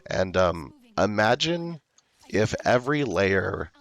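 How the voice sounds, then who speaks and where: Hoarse, gravelly deep-voiced man. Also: sing-song. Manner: slow, rambling, laid-back talk. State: hoarse, recovering from illness. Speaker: game streamer. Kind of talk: casual livestream chat.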